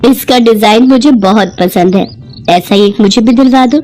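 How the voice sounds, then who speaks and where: Woman voicing a keen, kindly lady, mellow tone. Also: a little high, moderate pace, faint music behind, close to an everyday voice. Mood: keen, curious. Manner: encouraging, insistent, expressive. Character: well-off, kindly, enthusiastic married woman on holiday. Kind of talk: Hindi moral-story cartoon acting.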